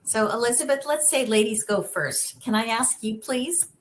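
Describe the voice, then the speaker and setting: A woman's voice: on the high side, normal speed. Woman moderating a video-call panel. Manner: warm encouraging interviewer, frequent affirmations.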